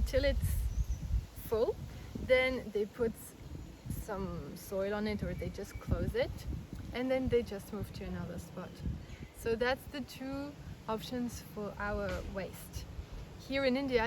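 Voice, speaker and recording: Woman, fairly high voice, earnest and patient, interviewed outdoors with clear noise behind. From Belgium, raised in France. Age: 30s. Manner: earnest, explains step by step with many restarts. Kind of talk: casual explanatory interview answers. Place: outdoors in India.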